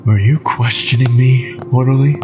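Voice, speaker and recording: An actor playing a curt, commanding, petty asylum doctor in an audio drama. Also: male voice, medium pitch, fairly even intonation, normal speed, music in the background, lightly acted. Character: stern, imperious asylum doctor, petty and authoritarian.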